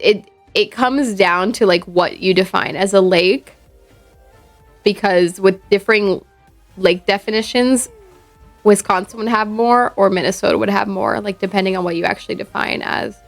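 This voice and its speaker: A woman's voice: medium pitch, unhurried, vocal fry, faint music behind. A woman from Ohio with a Midwestern accent, chatting casually with her viewers on a livestream and rambling between thoughts. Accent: Midwestern American. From Ohio, USA. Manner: rambling, chatty, reads out chat.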